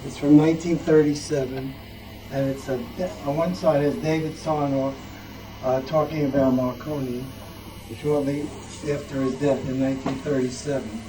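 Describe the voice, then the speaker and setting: A man's voice: medium pitch, little pitch movement, normal speed. A man giving a casual show-and-tell talk as a club member presenting a collection. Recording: picked up from a distance.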